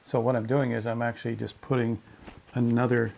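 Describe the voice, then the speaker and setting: A man's voice: mid-range voice, little pitch movement, fairly quick, creaky, darkish tone. A man giving a chatty, explanatory hands-on demonstration in a casual style, speaking into a headset or lapel mic. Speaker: product demonstrator, pilot. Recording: faint background noise, headset and lapel mics.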